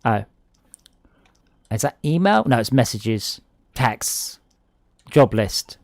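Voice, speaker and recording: A man's voice: medium pitch, takes it slowly, gravelly, dry recording. Chatty southern English man gaming. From England. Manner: chatty, excitable, jokey, tangents. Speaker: streamer playing online with friends. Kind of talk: casual gaming chat.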